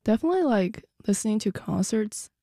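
A woman's voice: mid-range voice, sing-song, fairly quick, dark tone, dry recording, studio quality. A sixteen-year-old girl from the United States chatting casually as an interview guest, youthful and informal. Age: 16, teenager. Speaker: guest, student classical pianist.

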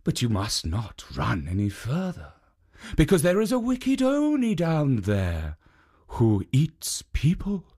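A narrator voicing a kindly stone statue spirit who protects travellers, speaking gently and earnestly in a raised voice. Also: medium pitch, wildly swooping pitch, slow, husky, exaggerated voice.

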